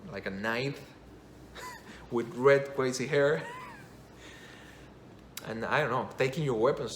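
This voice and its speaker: A man, not a native English speaker, rambles through a casual game review, restarting and correcting himself as he goes. His voice sits at a middle pitch and carries an echo from the room. A faint hum of ambience runs behind him. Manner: rambling, self-correcting, enthusiastic. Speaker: game commentator.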